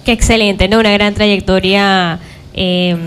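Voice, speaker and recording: A woman's voice: medium pitch, steady tone, unhurried, fairly bright tone, smooth. A woman with an Ecuadorian accent hosting a conversational live interview in a chatty, encouraging way. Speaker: interview host.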